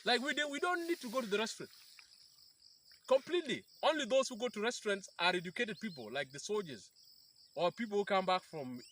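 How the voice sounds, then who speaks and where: Interviewgast, Mann in den Dreißigern aus dem Südsudan, erklärt eindringlich und setzt oft neu an; leise Insekten im Hintergrund. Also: male voice, high-pitched, animated, fairly quick, a bit bright, a little hiss or hum. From South Sudan. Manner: earnest, explanatory, with frequent restarts. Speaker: peace activist guest. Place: outdoors by a river in rural bushland.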